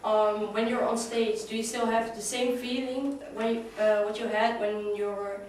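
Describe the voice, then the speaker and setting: Brief: woman fan interviewer, higher voice, flat and hesitant delivery. Distant mic, echoey room, noise behind. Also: unhurried. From Netherlands. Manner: hesitant, many fillers. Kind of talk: interview questions.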